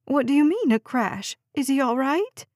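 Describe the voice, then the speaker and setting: A woman's voice: high voice, expressive, moderate pace, a little mellow, dry recording, studio quality, voice pushed up. A woman voicing a frantic, demanding mother of a missing girl in an audiobook, urgent and pleading. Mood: panicked, pleading.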